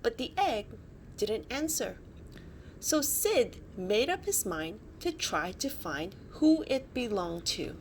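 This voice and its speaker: A woman narrating a children's bedtime story as a storybook reader, in an animated style. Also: fairly high pitch, slow, a little hiss or hum.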